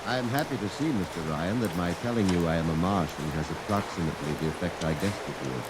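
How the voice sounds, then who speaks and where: An actor in a 1950s radio drama playing a calm, formal male Martian who poses as a businessman, speaking in a stiff, precise, measured way. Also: sits low, heavy background noise. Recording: vintage radio recording under loud rain.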